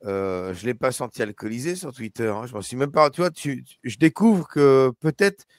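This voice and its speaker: A man's voice: mid-range voice, animated, moderate pace, no room sound, clean studio sound. A man hosting a casual live discussion, talking with many hesitations and restarts. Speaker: YouTube live host.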